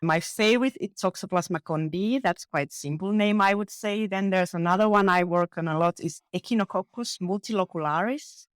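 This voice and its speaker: Woman, enthusiastic guest, frequent laughs, halting restarts, dry recording. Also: medium pitch, dry recording. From northern Finland. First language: Finnish. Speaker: guest parasitologist. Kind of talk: casual podcast chat.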